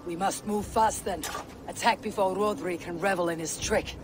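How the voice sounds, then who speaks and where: An actor playing a calm, resolute Viking warrior who speaks tersely. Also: male voice, sits high, normal speed, background noise, lightly acted. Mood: steady. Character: steady young adult male Viking warrior. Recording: game soundtrack with music behind.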